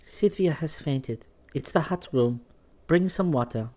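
A man voicing a dignified, cool young woman in a story, with a calm and composed manner. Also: a little high, measured pace, voice pushed up, lightly acted. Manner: calm, reproachful.

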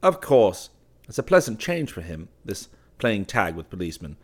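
A man's voice: on the low side, very animated, moderate pace. A man voicing an easygoing young male art student, breezy and nonchalant.

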